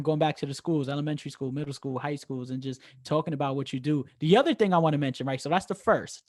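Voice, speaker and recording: A man's voice: on the high side, a little mellow, dry recording, studio quality. A man with a Bronx accent co-hosting a podcast, enthusiastic and keeps checking in with "right?". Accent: New York, Bronx. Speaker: podcast co-host. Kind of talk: casual podcast interview.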